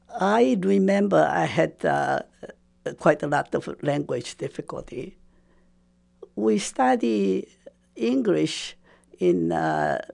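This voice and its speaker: Slow, gravelly older woman. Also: lowish voice, darkish tone. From Taiwan. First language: Japanese. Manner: hesitant, many pauses and fillers. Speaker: oral history interviewee. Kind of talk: personal reminiscence.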